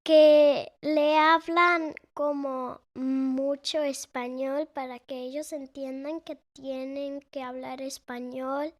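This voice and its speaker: A young girl of almost nine answers questions in a slow, halting way, filling gaps with little sounds while she hunts for words. Her voice sits high and light. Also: level tone. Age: child, almost 9. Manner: hesitant, searching for words, many fillers. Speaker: interviewee, the host's daughter. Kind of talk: conversational interview.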